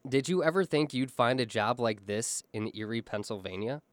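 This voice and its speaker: A man's voice: medium pitch, expressive, moderate pace, dry recording. Polished male interviewer, dry sound. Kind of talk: scripted interview questions.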